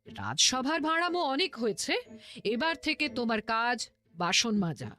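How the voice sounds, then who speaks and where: A woman voicing an imperious, demanding queen in an animated cartoon, with a bossy, emphatic and dramatic delivery. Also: on the high side, moderate pace. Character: imperious, demanding young queen, quick to complain.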